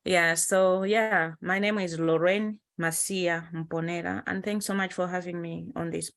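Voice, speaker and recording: A woman from Malawi, a podcast guest, talking casually and haltingly with fillers. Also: lowish voice, steady tone, vocal fry, fairly bright tone. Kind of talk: casual conversation.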